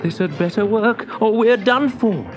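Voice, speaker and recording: An adult narrator puts on a bumbling boy wizard for a storybook. The voice sits high and lively, anxious and eager. It is excitable and swoops about, with music faintly behind. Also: unhurried, lightly acted. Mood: anxious urgent. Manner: hesitant, breathless, excitable. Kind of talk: children's storybook character voice.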